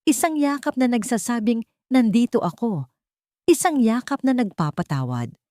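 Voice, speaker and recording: A woman's voice: fairly high pitch, big pitch swings, normal speed, dark tone, dry recording, clean studio sound. A woman narrating a dramatic love story in an emotive, melodramatic way.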